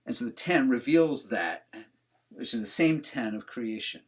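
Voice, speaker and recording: Husky-voiced man teaching an online class. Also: mid-range voice, expressive, a little slow. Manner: conversational, asks the class questions. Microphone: video call.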